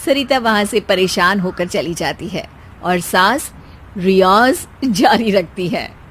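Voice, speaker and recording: A woman's voice: mid-range voice, animated, takes it slowly, fairly bright tone, slight background noise. A woman narrator reading a scripted comic family story.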